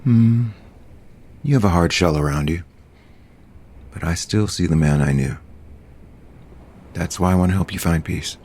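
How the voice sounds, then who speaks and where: Soap opera guy, deep voice, gentle and soothing, really earnest about healing stuff. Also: unhurried, long pauses. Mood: earnest, caring. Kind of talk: audio soap opera acting.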